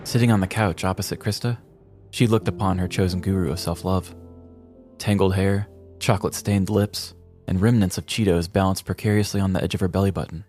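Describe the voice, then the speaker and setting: A man's voice: low-pitched, steady tone, brisk, darkish tone, faint music behind. A man narrating a scripted comedic story for a podcast in a mock-dramatic way.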